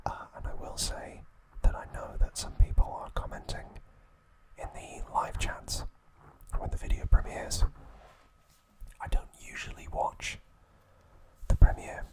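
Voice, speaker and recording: A man hosting an ASMR channel, whispering trivia softly and gently. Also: rough recording. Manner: soft unvoiced whisper, slow and gentle.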